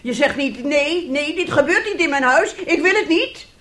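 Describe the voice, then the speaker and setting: A woman's voice: high voice, animated, moderate pace. An actress in a radio drama playing a bitter, sarcastic middle-aged wife and mother who talks reproachfully and theatrically. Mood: scornful. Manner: reproachful, sarcastic, dramatic, swinging between hushed and loud. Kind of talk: radio drama acting in Dutch.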